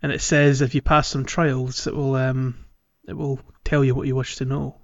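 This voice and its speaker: A man's voice: medium pitch, flat delivery, moderate pace, highs cut off. A man co-hosting a podcast, chatting casually about a video game in a hesitant, chuckly, conversational way. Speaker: podcast co-host.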